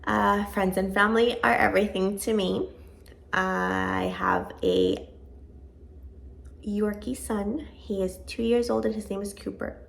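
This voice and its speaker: Woman, late 30s, casual rambling vlogger, gravelly fry, mid pitch. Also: lively intonation, a little slow. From born in Venezuela, raised in Georgia. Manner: chatty, rambling, self-deprecating. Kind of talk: casual chat to camera.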